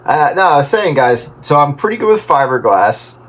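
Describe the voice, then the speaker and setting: A man's voice: a little high, sing-song, moderate pace, fairly bright tone. A man joking and chatting casually with others over a two-way radio. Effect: two-way radio transmission. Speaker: amateur radio operator.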